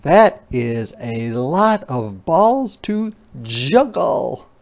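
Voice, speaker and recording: A teacher reading aloud to young children in an interactive phonics lesson, deliberately repeating sounds and words and adding simple explanations. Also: medium pitch, very animated, creaky, a little mellow. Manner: child-directed explanatory asides.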